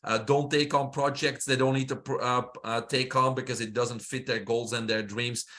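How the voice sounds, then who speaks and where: A man's voice: medium pitch, moderate pace. Belgian-accented middle-aged man explaining steadily. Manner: steady, explanatory, frequent "uh" fillers. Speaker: guest, holistic health mentor. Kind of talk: interview answer, casual.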